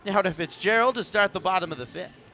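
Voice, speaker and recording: A man's voice: high-pitched, quick pace, bright, forceful tone. A man doing live radio play-by-play commentary of a baseball game as the announcer. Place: broadcast position at an outdoor ballpark in the evening.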